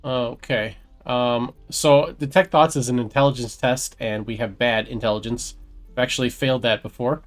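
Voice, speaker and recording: A man gives casual commentary as he plays a video game, talking through his choices. His voice is mid-pitched, with faint music underneath. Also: fairly even intonation, normal speed. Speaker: gaming video host.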